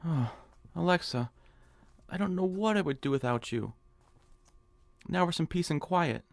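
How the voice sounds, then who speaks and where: A meek, whiny male office worker in a comic audio drama. The voice sits fairly high, with lively swoops and a noticeable creak. He hesitates and complains like someone forever feeling sorry for himself. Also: unhurried, dry recording. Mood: contented, chatty.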